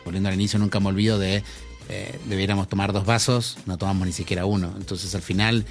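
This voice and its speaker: A man's voice: deep voice, little pitch movement, fast, faint music behind. A middle-aged man from Argentina with an Argentine accent, a marketing executive answering a podcast interview in a thoughtful way, with many fillers and restarts.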